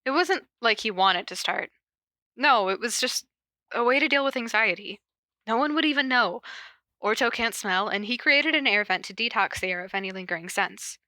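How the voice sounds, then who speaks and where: A woman with a bright, forward voice and a close, dry sound. She talks informally as a podcast host, moving between casual story reading and chat, with a giggly, self-interrupting manner. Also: a little high, lively intonation, normal speed, dry recording. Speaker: podcast host reading fan fiction aloud.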